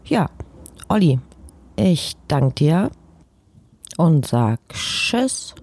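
A man's voice: on the high side, big pitch swings, drawn-out words, darkish tone, no room sound. A man hosting a podcast in a casual, conversational style. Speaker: podcast host.

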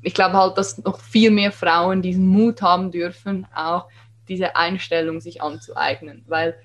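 A woman's voice: on the low side, fairly even intonation, unhurried. Regional German accent, woman, casual chat, emphatic, motivational. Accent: regional German dialect. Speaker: guest, Instagram creator. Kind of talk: casual podcast conversation.

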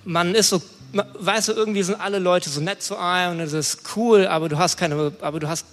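A man's voice: sits high, fairly quick, a bit bright. A young man giving an informal, colloquial sermon, often hesitating and groping for words. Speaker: preacher. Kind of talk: informal youth sermon with personal testimony. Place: large room, church service on stage. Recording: echoey hall, music behind. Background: music.

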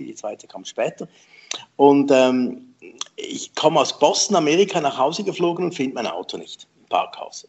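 A man in his sixties with a slightly husky voice answers interview questions at an easy middle pitch. He tells anecdotes about himself with a lively, self-mocking humor and laughs often. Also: highs cut off. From Switzerland. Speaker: memory trainer, guest. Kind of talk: conversational storytelling interview.

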